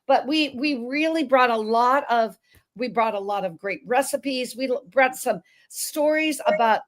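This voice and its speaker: Woman host, higher voice, effusive and chatty, frequent restarts. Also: unhurried. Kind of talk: casual interview chat.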